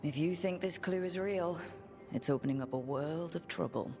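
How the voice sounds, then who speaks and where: Low-voiced, wry heroine. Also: female voice, moderate pace, dark tone. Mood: composed, questioning. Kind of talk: video game character dialogue. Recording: music behind.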